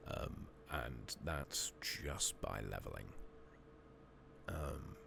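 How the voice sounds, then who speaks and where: A soft-spoken man giving hushed ASMR commentary on a game playthrough, murmuring and musing aloud in an unhurried way. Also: a bass voice, sing-song, creaky, noisy background. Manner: hushed, murmured, sometimes slipping into whisper. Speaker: gaming channel host.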